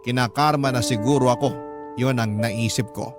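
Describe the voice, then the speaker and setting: A man's voice: medium pitch, fairly even intonation, moderate pace. Male story narrator over music. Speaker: narrator of a love story series. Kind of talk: first-person scripted story narration. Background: music clearly under the voice.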